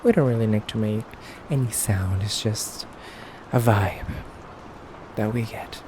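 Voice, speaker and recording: Slow, teasing vampire played by a man. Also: fairly low pitch, noisy background, lightly acted. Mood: explaining, measured. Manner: hesitant pauses, condescending mock politeness, soft chuckling. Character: haughty, teasing pure-blood male vampire interrogating a human captive. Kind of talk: intimate ASMR roleplay monologue.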